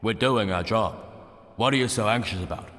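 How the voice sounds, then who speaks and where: A man's voice: on the low side, moderate pace, fairly bright tone, close to an everyday voice. A man playing an impassive, dutiful servant of the law, speaking detached, formal lines in a theatrical game scene, in a reverberant hall. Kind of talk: theatrical scripted game dialogue.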